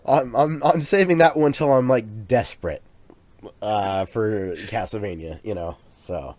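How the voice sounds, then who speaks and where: A man's voice: lowish voice, unhurried, a little mellow, no echo. Man, podcast co-host, casual chat, rambling, restarts, dry close sound. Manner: rambling, with many restarts and fillers. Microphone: USB microphone.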